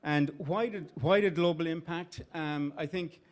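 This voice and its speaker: A man's voice: on the high side, a little slow. Middle-aged Irish man, live event speech, conversational, fillers and restarts, at a venue. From Ireland. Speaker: CEO of an investment firm giving opening remarks.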